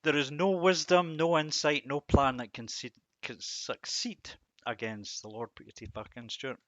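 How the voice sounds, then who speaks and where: A man giving an informal devotional commentary on verses, musing and halting, with self-deprecating asides. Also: medium pitch, fairly even intonation, no echo, highs cut off. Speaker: host of a Bible reading series.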